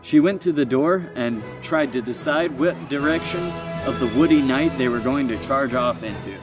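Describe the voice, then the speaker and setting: Male storyteller, mid-pitched, theatrical but informal, corrects himself as he goes, recorded a little far from the mic. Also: moderate pace, music in the background. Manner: dramatic delivery, stumbles and self-corrects. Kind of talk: reading a comic short story aloud with informal asides.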